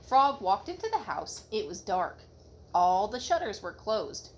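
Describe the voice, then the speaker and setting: Animated woman reading a storybook aloud. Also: mid-range voice, a little slow. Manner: expressive, asking the children questions. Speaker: mother reading to her children. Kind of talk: storybook read aloud with casual asides. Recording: home recording with background noise.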